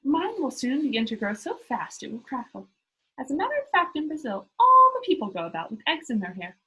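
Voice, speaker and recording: A woman's voice: high-pitched, wildly swooping pitch, brisk, pushed and bright, exaggerated voice, poor audio. A woman voicing a cheerful, bossy young storybook girl who tells tall tales in an exuberant, emphatic way. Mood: boastful. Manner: exuberant, matter-of-fact, emphatic. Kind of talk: children's storybook read-aloud.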